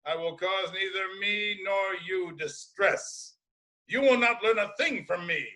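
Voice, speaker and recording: Casting: male actor as aged blind seer, high forceful voice, ominous, defiant, declaiming classical tragedy. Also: unhurried, poor audio. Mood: stubborn, indignant. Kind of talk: dramatic classical Greek tragedy reading.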